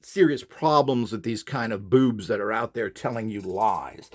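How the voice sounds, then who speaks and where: A man's voice: on the low side, moderate pace. A man giving an informal instructional monologue in a blunt, opinionated, emphatic and rambling way. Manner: opinionated, blunt, scornful of rivals. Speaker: presenter selling upgrade equipment.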